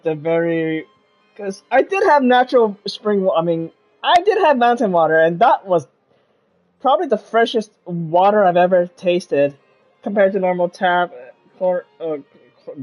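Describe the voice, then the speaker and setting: Woman streamer, dull lo-fi mic. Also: fairly low pitch. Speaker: game streamer. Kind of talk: casual live playthrough commentary. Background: game music.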